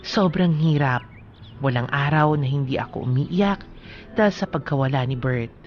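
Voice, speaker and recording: A woman's voice: low voice, moderate pace, dull audio, faint music behind, natural voice. An actress playing a bereaved, despairing woman telling her sorrowful story in a radio drama monologue. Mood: despairing, tearful.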